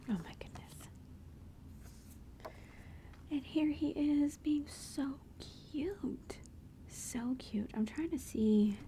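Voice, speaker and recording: Mom whispering asmr style over family pics, gushy and chatty, kinda high, warm mellow sound. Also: female voice. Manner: soft whisper throughout; gushing, affectionate, chatty. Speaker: mother showing family photos. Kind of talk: whispered ASMR photo show-and-tell.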